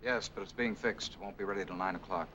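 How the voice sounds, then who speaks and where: A man's voice: low-pitched, fairly even intonation, fast talker, pushed and bright, a little hiss or hum. A man acting in a 1940s film as a hot-tempered, insistent young man, urgent and accusing in a tough way. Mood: sullen.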